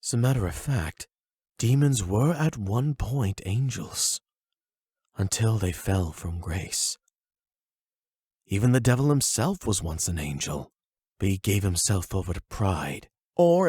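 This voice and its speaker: A man voicing a calm, erudite therapist in an anime audio roleplay, speaking in a measured, formal, gently probing way. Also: on the low side, slightly rough, dry recording, studio quality, exaggerated voice. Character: calm, erudite male therapist and demi-human expert.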